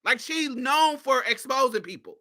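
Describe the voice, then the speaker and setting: Sassy, bright-voiced woman host. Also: sits high, expressive, normal speed. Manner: sassy and blunt, swears freely, laughs often. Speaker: podcast host. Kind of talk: casual commentary monologue.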